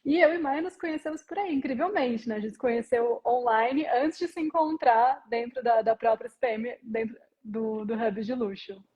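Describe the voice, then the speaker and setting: A woman from southern Brazil, a guest on a live stream, speaking in a relaxed, articulate way. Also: on the high side, moderate pace, husky, highs cut off. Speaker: guest, luxury marketing researcher. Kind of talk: casual live chat. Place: São Paulo.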